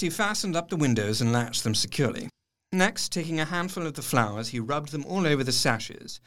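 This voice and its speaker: An actor playing an earnest, educated Victorian doctor, narrating his diary in a formal, measured way for an audio drama. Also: fairly high pitch, a bit bright.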